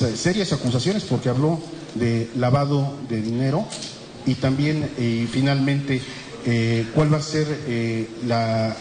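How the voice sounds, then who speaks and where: A man with a Mexican accent, a radio reporter asking a long question at a press conference, halting, with many restarts. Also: mid-range voice. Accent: Mexican Spanish. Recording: echoey hall with background noise.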